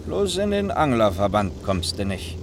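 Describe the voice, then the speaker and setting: An actor playing a patient, matter-of-fact driver in a naturalistic radio play, gently teasing as he talks. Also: on the low side, sing-song, moderate pace, noisy background. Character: patient, reasonable working man driving a car.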